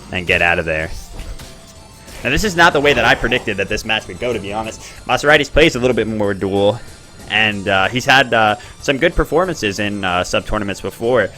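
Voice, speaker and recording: Guy casting a game tourney, low-ish voice, bright and punchy, music faint behind. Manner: chatty, excitable, bursts up in pitch at plays. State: expects to lose his voice. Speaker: tournament host and commentator. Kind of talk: live game commentary.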